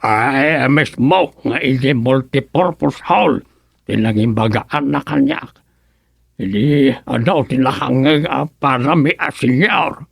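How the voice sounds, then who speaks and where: A man with an Ilocano Filipino accent playing an aggrieved, gravelly villager in a radio comedy drama. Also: medium pitch, slow pace, no room sound, clean studio sound, full character voice.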